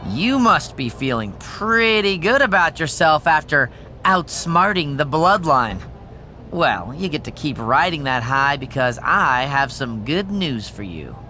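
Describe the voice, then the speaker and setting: A man playing a wrestling official delivering news in a game cutscene, in a bright, lively manner. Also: fairly high pitch, measured pace, slight background noise, heavily acted. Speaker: match official.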